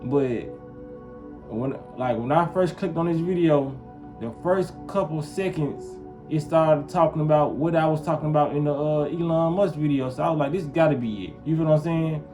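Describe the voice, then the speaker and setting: A man's voice: a little high, moderate pace. Man, casual vlog, rambling, music under voice. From Memphis, US South. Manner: rambling, keeps asking "you feel what I'm saying". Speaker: vlogger. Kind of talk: casual talk to camera.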